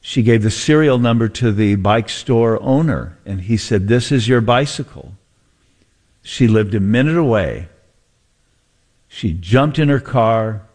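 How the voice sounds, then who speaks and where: An American man hosting radio talk in a conversational, unhurried storytelling way, drawing out phrases for suspense. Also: fairly low pitch, a little mellow. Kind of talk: conversational radio talk, reading listener messages.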